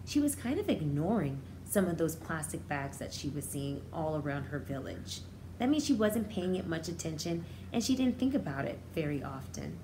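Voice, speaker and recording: A woman teacher reading a storybook aloud to young children, expressively, pausing to explain it in simple, repeated words. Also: low-pitched, big pitch swings, background noise.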